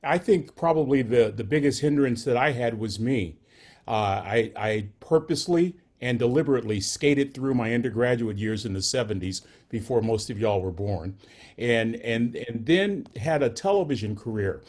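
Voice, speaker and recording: A man's voice: mid-range voice, animated, normal speed, a little mellow. A man in his sixties, a journalist on a panel, folksy and self-deprecating. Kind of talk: panel discussion.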